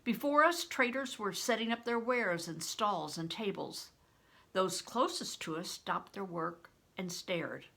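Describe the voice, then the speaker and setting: An older woman, a grandmother, reading a storybook aloud in a deliberate, phrase-by-phrase way. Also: medium pitch, lively intonation, creaky, bright, forceful tone. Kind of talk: storybook narration with plain spoken asides.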